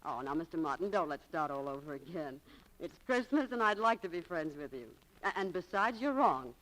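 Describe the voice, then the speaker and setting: A woman's voice: sits low, very animated, a little fast, a bit bright, poor audio. An actress playing a candid, romantic young shopgirl in a 1940s radio drama, breezy and confiding. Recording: vintage 1940s radio recording.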